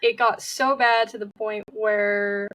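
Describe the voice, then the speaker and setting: A woman's voice: mid-range voice, flat delivery, slow, a bit bright, dry recording, far from the mic, rough recording. A young woman speaking as an interview guest, candid and open with frequent fillers. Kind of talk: personal interview talk.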